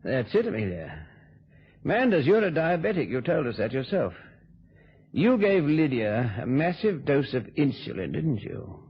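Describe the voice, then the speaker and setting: An actor playing a shrewd, coolly accusing amateur sleuth in a vintage radio drama. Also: male voice, on the high side, very animated, measured pace, breathy edge, heavily acted. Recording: dull vintage radio recording.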